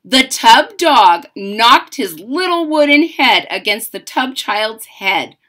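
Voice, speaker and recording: A middle-aged woman reading a picture book aloud to children, dramatic and deliberate, with simple explanatory asides. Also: fairly high pitch, very animated, pushed and bright. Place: indoors, small home room.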